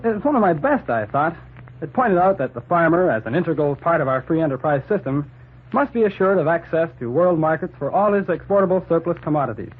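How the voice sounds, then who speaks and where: Fast-talking radio reporter, husky, tinny. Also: male voice, a little high. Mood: narrating. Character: inquisitive city newspaper reporter, eager and slightly puzzled. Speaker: reporter hero and narrator. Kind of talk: 1940s radio drama acting. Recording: tinny 1940s radio recording.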